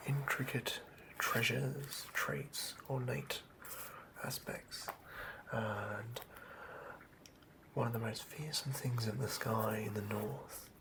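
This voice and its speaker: A performer voicing a seasoned, ominous tavern bard in a breathy whisper for a fantasy ASMR roleplay, telling tales theatrically. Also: mid-range voice, background noise. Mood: nostalgic, hushed. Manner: slow, theatrical, old-fashioned tale-telling.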